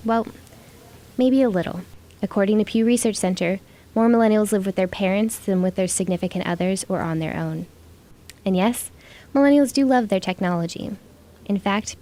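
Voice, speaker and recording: A woman's voice: medium pitch, warm tone. An American woman reading scripted narration as a student reporter.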